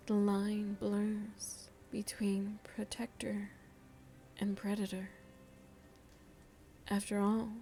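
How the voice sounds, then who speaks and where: A slow, softly breathy vampire seductress played by a woman murmurs to the listener with worldly, teasing tenderness. Her mellow voice keeps an even, narrow pitch and often sinks into a whisper, as in an intimate ASMR roleplay. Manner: slow, deliberate, musing, addresses listener tenderly. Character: seductive motherly female vampire, worldly, philosophical, gently teasing.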